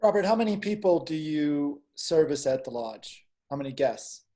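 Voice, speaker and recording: A man's voice: on the high side, animated, moderate pace, dark tone. A man co-hosting a video call interview, putting short, direct questions. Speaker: co-host.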